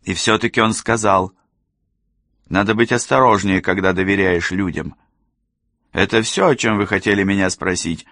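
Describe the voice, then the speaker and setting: A man's voice: low voice, moderate pace, pauses often, a bit bright, dry recording, pitched above the performer's own voice. An audiobook-style portrayal of a cold, manipulative young woman, put on by a male reader. The voice is lifted well above his own, condescending and imperious, in a close, dry recording. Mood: smug, scolding.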